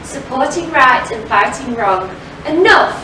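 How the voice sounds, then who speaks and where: A woman's voice: high voice, animated, a little slow. A woman playing a stage pantomime character with emphatic, declamatory theatrical delivery. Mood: triumphant. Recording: distant, very echoey, clear background noise.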